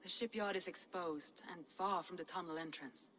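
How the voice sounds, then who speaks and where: An actress playing a proud, composed Viking clan leader in a video game, speaking in an assured, formal way. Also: female voice, fairly low pitch, moderate pace, fairly bright tone, lightly acted. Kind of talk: video game cutscene dialogue.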